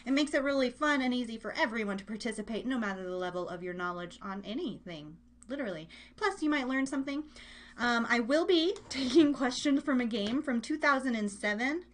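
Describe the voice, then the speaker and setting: A woman's voice: fairly high pitch, a bit bright. A woman hosting a live online radio show, chatting casually with listeners in a giggly, rambling, playful way, often repeating phrases.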